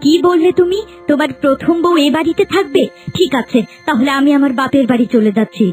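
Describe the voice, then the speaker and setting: A haughty young cartoon wife acted by a woman, cruel and scheming. Her high voice is bossy and sharp-tongued, with faint music behind it. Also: expressive, brisk. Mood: indignant.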